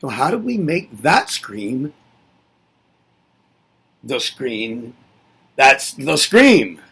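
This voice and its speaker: A man's voice: mid-range voice, sing-song, very slow, creaky, pushed and bright, slightly rough. A man giving a reflective spoken lesson, musing aloud and asking himself rhetorical questions before answering them. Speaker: presenter.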